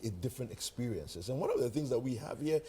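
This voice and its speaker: A man's voice: mid-range voice, expressive, fast, dark tone, slightly rough. A man with a Ghanaian accent hosting a casual TV breakfast interview. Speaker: breakfast show host. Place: TV studio.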